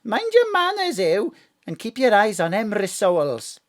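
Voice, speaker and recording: An actress playing a brash, scolding chip-shop customer in a comic audio drama. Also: female voice, mid-range voice, wildly swooping pitch, normal speed.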